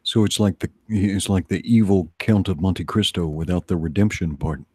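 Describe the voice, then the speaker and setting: A man's voice: low-pitched, steady tone, normal speed, a little mellow, husky, no echo, studio quality. A man asking questions and commenting in a casual conversation, as an interviewer.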